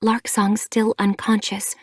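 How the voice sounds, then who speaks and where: A woman reading an audiobook, voicing a calm healer she-cat who speaks gently and reassuringly. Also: mid-range voice, unhurried, breathy edge, no room sound, studio quality.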